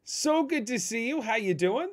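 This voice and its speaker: Male host, upbeat and agreeable, high bouncy voice. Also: lively intonation, normal speed. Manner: upbeat, lots of short agreements. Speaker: podcast host. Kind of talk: casual chat.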